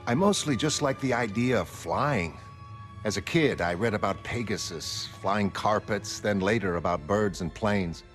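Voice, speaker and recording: A wistful, middle-aged American ex-crime fighter from a motion comic, with music playing under the voice. He speaks fairly low, in a hesitant and self-effacing way. He comes across as mild and unsure of himself. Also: measured pace, a bit bright. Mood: earnest, matter-of-fact. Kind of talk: dramatic motion comic character acting.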